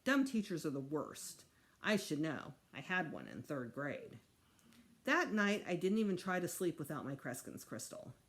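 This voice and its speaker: Expressive woman reading a storybook aloud. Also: low voice, normal speed. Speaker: teacher reading aloud to students.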